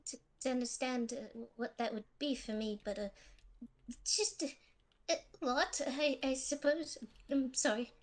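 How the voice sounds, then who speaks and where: A woman's voice: high-pitched, steady tone, unhurried. A woman voicing a timid, anxious young demigod boy in a fantasy audio drama, hesitant and stammering. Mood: nervous, apologetic.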